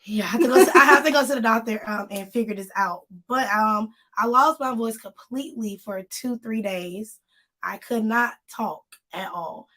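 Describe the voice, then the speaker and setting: A woman co-hosting a casual live-stream chat, talking straight to her viewers, her voice slightly hoarse as it recovers. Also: a little high, moderate pace, bright, forceful tone. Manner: chatty, addresses viewers directly. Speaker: magazine co-host.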